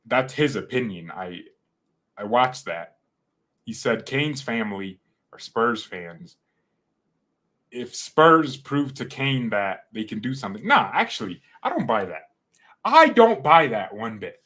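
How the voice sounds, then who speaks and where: Man, casual stream chat, rambling, joking, sudden loud bursts, mid pitch. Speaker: fan channel host. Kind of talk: casual livestream chat with viewers.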